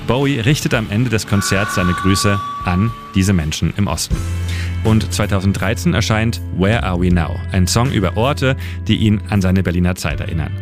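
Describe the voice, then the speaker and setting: A man with a fairly low voice narrates a scripted radio feature like a storyteller. A clear guitar music bed plays under his close studio voice. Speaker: radio editor and city guide presenting a history segment.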